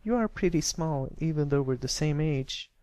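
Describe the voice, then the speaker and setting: Man doing a sweet, high-voiced little filly. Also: expressive, moderate pace, dark tone, no room sound, lightly acted. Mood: curious. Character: sweet, naive, excitable little filly pony.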